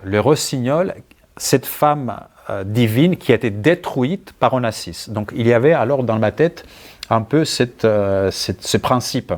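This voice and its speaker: Greek-accented man, halting and thoughtful. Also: lowish voice, a little mellow. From Greece. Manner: halting, searching for words, thoughtful. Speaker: writer being interviewed. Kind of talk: conversational answers at a book talk.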